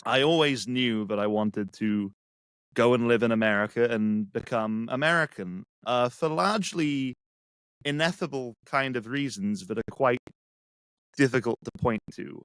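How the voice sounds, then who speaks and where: A man from England with an English accent, a guest speaking reflectively and haltingly with many restarts. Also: mid-range voice, fairly bright tone, clear, resonant tone, no echo, poor audio. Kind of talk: casual conversation.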